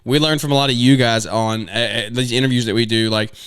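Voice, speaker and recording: Man in his twenties, podcast host, earnest rambling advice, restarts and fillers, medium pitch, close dry mic. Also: steady tone, a little fast, a bit bright, smooth, dry recording. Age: 20s. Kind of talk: casual advice monologue.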